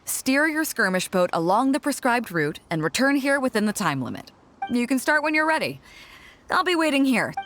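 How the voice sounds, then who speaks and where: A bold pirate fleet captain from a video game, speaks with assured command and a teasing edge. Her pitch swings widely as she talks, and soft music plays behind her. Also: big pitch swings, normal speed. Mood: firm, instructive. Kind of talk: video game character acting.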